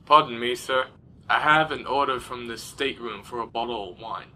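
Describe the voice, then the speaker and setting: A man's voice: medium pitch, normal speed, noisy background, close to an everyday voice. A man playing a deferential, formal steward on an ocean liner in a radio drama. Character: polite young steward on a liner. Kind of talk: fan radio drama acting.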